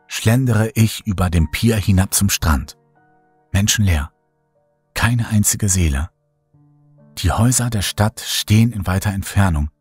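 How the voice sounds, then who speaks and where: Low husky guy reading a creepy story, dry close mic, quiet music under it. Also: dry recording. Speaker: narrator. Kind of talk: scripted horror audiobook narration. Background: faint music bed.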